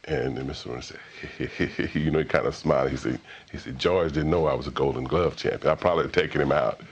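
Middle-aged man, American accent, extremely low voice, interview reminiscence, slightly dull sound. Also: quick pace, breathy edge.

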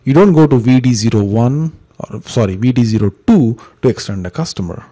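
A man giving an informal step-by-step software tutorial in a conversational, explanatory way, with a gravelly, husky voice. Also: medium pitch, animated, fairly quick. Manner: explanatory, conversational, uses examples. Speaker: software trainer, instructor.

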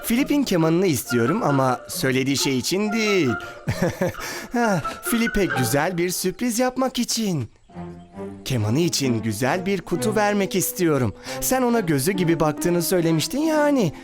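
Cartoon insect con artist: high voice, theatrical, ingratiating poet's delivery over loud music. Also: male voice, sing-song, moderate pace. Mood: sly, coaxing. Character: smooth-talking, scheming male insect poet. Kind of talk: dubbed children's cartoon acting.

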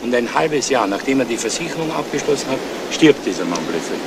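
An actor playing a fretful, suspicious insurance agent in a 1970s German crime radio play, talking in an insinuating, emphatic way. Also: male voice, mid-range voice, lively intonation, quick pace, heavy background noise, natural voice. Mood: worried, insistent.

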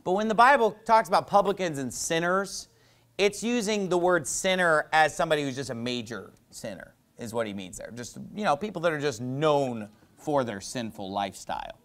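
A man, preaching an animated, conversational sermon from a pulpit, wearing a lapel mic in a small church. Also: mid-range voice, moderate pace. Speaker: pastor. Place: small church stage with painted backdrop, indoors.

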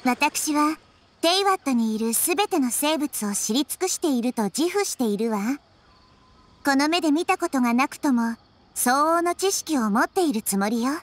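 A woman voicing a wise, girlish nature deity in a game, composed and quietly confident. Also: high voice, animated, moderate pace. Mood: calm, curious. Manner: composed, inquisitive, feminine phrasing. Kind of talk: Japanese game voice acting.

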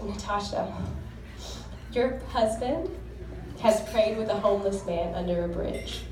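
A young woman delivers a sincere, devout wedding toast at a medium pitch. Her voice carries from a distance through a reverberant reception hall. Faint clinking of dishes sits low in the background. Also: darkish tone, a little hiss or hum. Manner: earnest, heartfelt, devout. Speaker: groom's sister giving a wedding toast. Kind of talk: formal wedding toast with scripture readings. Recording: echoey hall recorded from far off.

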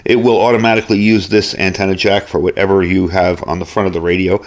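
A man's voice: sits low, little pitch movement, fast talker. A man giving a casual hands-on gear review as host, explaining things informally, step by step.

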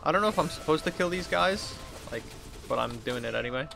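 A man's voice: on the high side, lively intonation, fast talker, creaky, music in the background. A man in his thirties giving casual, rambling let's play commentary, thinking aloud as he plays. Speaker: gaming video host. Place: indoors at a computer desk.

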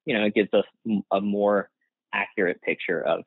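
A man whose first language is Gujarati answers an interviewer in a hesitant, restarting way with a slightly low voice. He comes through a tinny, phone-like remote call line. The sound is dry and close, with no room around it. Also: steady tone, normal speed, slightly rough, no room sound. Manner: hesitant, many restarts and fillers, laughs lightly. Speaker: guest, workplace psychologist.

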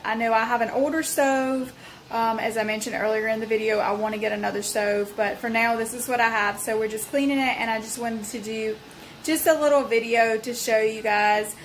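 A woman's voice: fairly high pitch, slight background noise. A woman, casually reviewing a cleaning product in her home kitchen, talking chattily with frequent ums into a phone held at arm's length. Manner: chatty and friendly, many ums. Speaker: home vlogger.

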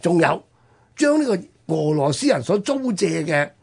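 Male history host, Hong Kong Cantonese, slightly high and husky, conversational storytelling in broken-up phrases. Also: big pitch swings, normal speed, a little mellow. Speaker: radio history programme host.

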